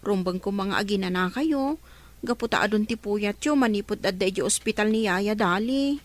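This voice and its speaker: A woman playing a troubled, sharp-tongued teenage daughter in a radio drama. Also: medium pitch, moderate pace, close to an everyday voice. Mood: guilty, brooding.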